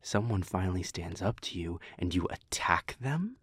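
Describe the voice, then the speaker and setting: A man playing a resentful, hot-tempered vampire with a husky voice in a scripted audio drama. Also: deep voice, sing-song, normal speed, a bit bright, full character voice. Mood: accusing. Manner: combative, foul-mouthed. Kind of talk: scripted audio roleplay acting.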